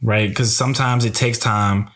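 A young man co-hosting a podcast, speaking in a gentle, musing, encouraging way about astrology and dreams. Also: on the low side, steady tone, moderate pace. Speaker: podcast co-host. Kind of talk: casual conversation about astrology.

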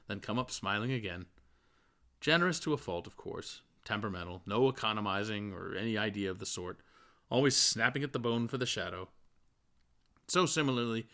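A man narrates a literary audiobook. His voice sits a little low, and he reads long, winding sentences with expression. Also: sharp tone. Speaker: audiobook reader.

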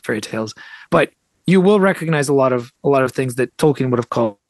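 A man's voice: mid-range voice, lively intonation, fast, no room sound. Podcast guest: American man, mid-range voice, explanatory and articulate, wandering into asides, very little room sound. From United States. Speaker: podcast guest, author and translator. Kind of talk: conversational interview, explanatory.

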